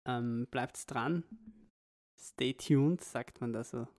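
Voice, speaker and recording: A man co-hosting a relaxed parenting podcast. His middle-pitched voice has a gravelly, creaky edge. He thinks out loud, circling back and restarting his sentences. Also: slow pace. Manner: reflective, rambling, many restarts. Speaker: podcast co-host. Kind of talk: casual parenting chat.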